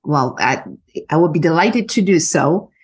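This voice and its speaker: A woman's voice: low-pitched, expressive, breathy edge. A woman with a Polish accent, a business mentor speaking earnestly as a podcast guest, with frequent hesitations. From Poland. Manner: earnest, spiritual, measured with many fillers. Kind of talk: podcast interview.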